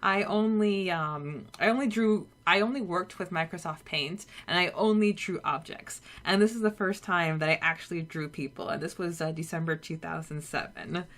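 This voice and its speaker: A woman hosting a podcast in a casual chat, retelling things in an expressive, giggly way. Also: on the low side, moderate pace, few pauses. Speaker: podcast host.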